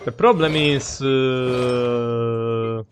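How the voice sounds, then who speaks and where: Male streamer, non-native English, mid-range voice, casual and halting delivery, faint background noise. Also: mid-range voice. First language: not English. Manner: halting, rambling, searching for words. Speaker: game streamer. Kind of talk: casual live game commentary.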